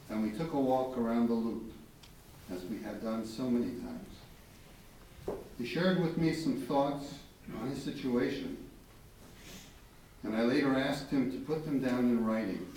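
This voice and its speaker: A man reading a eulogy aloud in a solemn, measured, deliberate way, with emphatic phrasing. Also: medium pitch, a little mellow. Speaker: eulogy reader. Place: large reverberant room at a memorial gathering. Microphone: distant microphone. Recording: echoey, distant, faint background noise.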